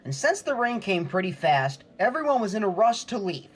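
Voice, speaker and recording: A man's voice: high-pitched, big pitch swings, normal speed, fairly bright tone. A man working as a sportscaster, delivering play-by-play and scripted reporting.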